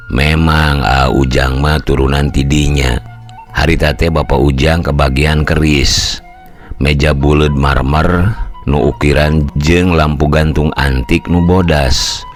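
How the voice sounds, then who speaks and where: A grave elderly uncle, as an older storyteller puts him on, speaking in a slow, measured way and lowered a little below the storyteller's own very deep voice. He sounds like a patient old relative handing down family history in a spoken folktale, with faint music underneath. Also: exaggerated voice. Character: elderly uncle, calm keeper of family lineage.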